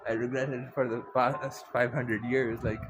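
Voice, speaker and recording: A man's voice: mid-range voice, flat delivery, normal speed, background noise. A man co-commentating on a game, chatting and explaining the story as it plays. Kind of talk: gameplay chat and reading dialogue aloud. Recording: dull audio under loud music.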